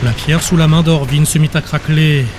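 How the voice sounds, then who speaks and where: A man's voice: fairly high pitch, fairly even intonation, fairly quick, background noise. A man reading dramatic scripted narration as an audiobook narrator. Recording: music behind the voice.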